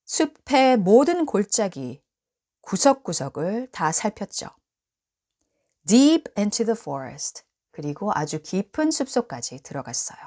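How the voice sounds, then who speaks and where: Female storybook reader, native Korean speaker, bilingual read-aloud for children; animated intonation, very unhurried. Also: medium pitch. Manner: expressive, deliberate storytelling to children.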